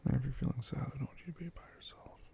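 A man softly whispering a tender, reassuring ASMR comfort roleplay. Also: medium pitch, fairly even intonation, racing, gravelly.

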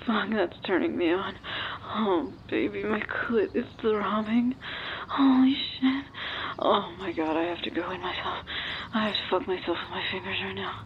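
A woman playing an amorous, coaxing adult lover in an intimate audio roleplay, breathy and often whispering. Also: mid-range voice, close to an everyday voice. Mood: hushed, aroused. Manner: breathy, often whispering, sighing, drawn-out delivery. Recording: muffled, very noisy recording.

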